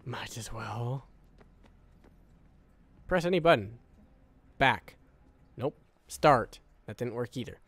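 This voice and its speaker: A man's voice: medium pitch, animated, slow, pauses often, fairly bright tone, slightly rough, no echo. A man giving casual, rambling let's-play commentary, sounding tired with a worn voice, repeating phrases to himself. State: tired, voice wearing out. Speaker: gaming video creator.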